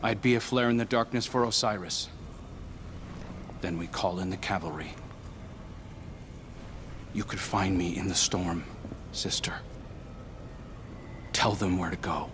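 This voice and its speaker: A man's voice: deep voice, a little slow, pauses often, background noise. A man playing an earnest, determined, selfless adventurer and brother in a video game, sincere and understated. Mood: resolute. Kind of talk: scripted video game drama. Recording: echoey room, music underneath.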